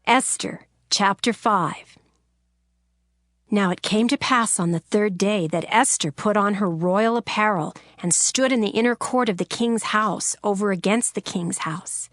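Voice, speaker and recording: A woman narrating scripture in a measured, formal reading. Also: mid-range voice, fairly bright tone, no room sound, studio quality.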